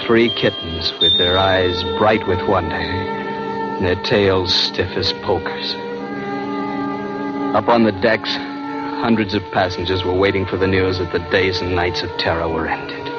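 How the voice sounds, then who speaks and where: A man's voice: sits low, fairly even intonation, a little slow. An American actor playing a man who dramatically recounts a brush with death in 1940s radio drama style. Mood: awed, humbled. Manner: intense, dramatic delivery. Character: young male adventurer breathlessly recounting his narrow escape from death. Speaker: lead actor narrating. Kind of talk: 1940s radio drama acting, first-person narration. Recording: dull vintage radio recording, loud music.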